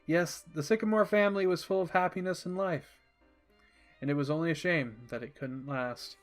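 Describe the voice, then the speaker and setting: Male story narrator, slightly high voice, scripted reading, music underneath. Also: moderate pace.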